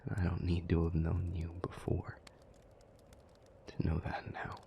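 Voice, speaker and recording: A man playing a weary, anguished demon in an intimate audio roleplay, pleading haltingly in a breathy near-whisper. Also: sits low, completely flat delivery, a little hiss or hum. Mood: weary, resigned. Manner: halting, slow, hushed delivery with long pauses.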